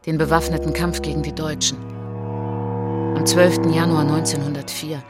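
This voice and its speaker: A woman performing a solemn narrator in a literary radio drama, speaking in measured, dramatic short phrases. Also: low-pitched, flat delivery, close to an everyday voice. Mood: grave. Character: solemn woman narrator recounting colonial atrocities as a traveller. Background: prominent music behind the voice.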